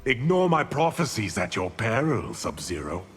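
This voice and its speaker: An actor playing an arrogant, menacing evil sorcerer in a video game, with haughty, deliberate, theatrical speech. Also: male voice, medium pitch, very animated, fairly bright tone. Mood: haughty, scornful. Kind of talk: dramatic video game villain acting. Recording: long hall reverb, music underneath.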